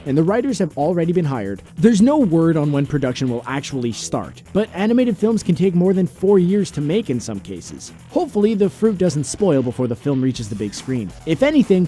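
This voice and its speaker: A man's voice: high-pitched, mellow. A man reading a scripted entertainment countdown as its narrator. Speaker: narrator of a video game and film countdown. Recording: music bed under the voice. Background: background music.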